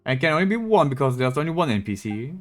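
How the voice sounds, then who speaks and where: A man's voice: medium pitch, big pitch swings, quick pace, soft background music. A man streaming a game with casual, mocking, sweary commentary. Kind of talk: casual sarcastic live commentary.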